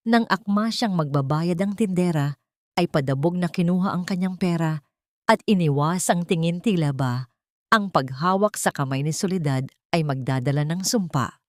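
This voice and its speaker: A woman's voice: deep voice, a little mellow, no echo, studio quality. A woman narrating a scripted dramatic story in a solemn, emotive manner.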